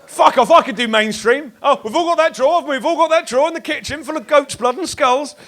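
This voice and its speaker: A man doing a stand-up set in a comedy club, sardonic and sweary, shouting punchlines at the crowd on a handheld stage mic. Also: very high-pitched, fast, a little hiss or hum. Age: 30s. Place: dark comedy club stage with live audience.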